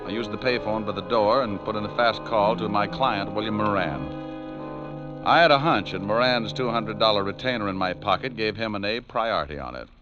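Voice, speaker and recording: Man playing a low-voiced hard-boiled private eye. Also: normal speed, over music, close to an everyday voice. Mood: wry, narrating. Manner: dry, sardonic. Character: wry, hard-boiled New York private detective. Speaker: lead actor and narrator. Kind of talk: 1940s radio noir acting and narration. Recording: dull vintage radio recording.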